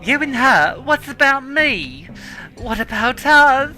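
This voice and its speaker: A petulant little henchman, played by a woman, whining and insecure. The voice leaps around in pitch and drawls slowly. Music hums faintly behind. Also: very animated, bright, forceful tone, full character voice, low-quality recording. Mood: whiny. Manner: whiny, petulant. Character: small jealous male underling, whiny and insecure. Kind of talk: fan dramatic reading.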